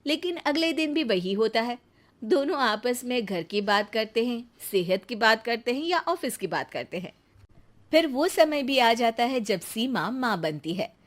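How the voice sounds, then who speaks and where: A woman's voice: a little high, expressive, measured pace. A woman narrating a scripted family story as a storyteller.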